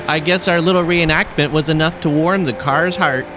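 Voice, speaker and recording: A man's voice: a little high, steady tone, unhurried, background noise, close to an everyday voice. A man acting a cheerful, sincere family dad in an audio drama. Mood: amused.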